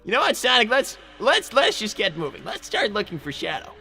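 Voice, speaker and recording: An excitable young cartoon fox, high-pitched and bright, with wide swings in pitch. He is anxious and flustered, stammering and repeating himself as he goes. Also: a little fast, gravelly, breathy edge, soft background music, heavily acted. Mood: earnest, hesitant. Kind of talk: improvised character roleplay on a livestream.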